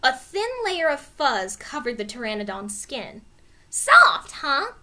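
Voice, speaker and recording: Casting: female storybook narrator, very lively melodic delivery, high voice, expressive and dramatized. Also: normal speed. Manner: expressive, clearly dramatized reading. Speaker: storybook reader. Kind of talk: animated children's read-aloud narration.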